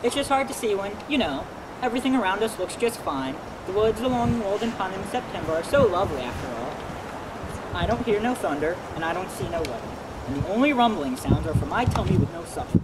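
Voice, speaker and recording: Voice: mid-range voice, very animated, a little fast, heavy background noise, lightly acted. A reader playing a folksy, plain-spoken servant who tries to keep the peace. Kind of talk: stage play reading.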